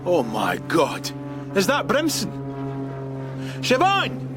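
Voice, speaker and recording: High, restless male veteran detective. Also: huge pitch swings, takes it slowly, over music, exaggerated voice. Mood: frantic. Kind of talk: radio drama acting.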